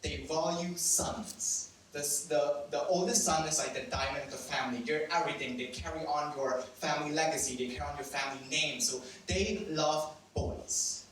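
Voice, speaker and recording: Young adult man, Cambodian accent, Khmer native, brightish higher voice, live storytelling, self-mocking and cheeky, faint hum behind. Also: moderate pace, few pauses. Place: event venue with audience. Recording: very echoey room, mic at a distance.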